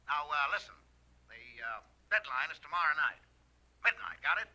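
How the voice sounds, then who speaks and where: Piercing, hard-edged tough gangster on a telephone. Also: male voice, on the high side, animated, moderate pace, bright, forceful tone, lo-fi sound, heavily acted. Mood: sly, businesslike. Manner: clipped, slangy, punctuated with "see?". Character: tough, sly gangster giving orders, movie-mobster type. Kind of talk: 1940s radio gangster acting.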